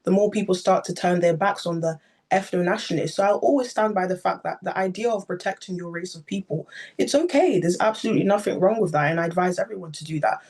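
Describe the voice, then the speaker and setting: A woman's voice: on the low side, fast, a little mellow. A woman speaking candidly and earnestly as a guest on a political panel, often restarting her phrases.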